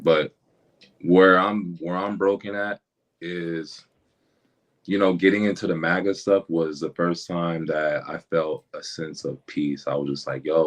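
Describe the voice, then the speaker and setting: A man with a very low voice speaks as a rapper guest in a casual interview. His talk is loose and full of slang. He often stops and restarts his sentences. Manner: slangy, halting, with many restarts and "you feel me" asides.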